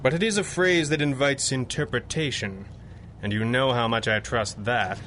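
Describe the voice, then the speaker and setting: An actor playing a resolute, articulate playboy crime fighter in a 1930s-style radio drama, measured and wry. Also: male voice, mid-range voice, a bit bright, slight background noise, natural voice. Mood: measured briefing. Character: suave, resolute masked hero, wry billionaire playboy.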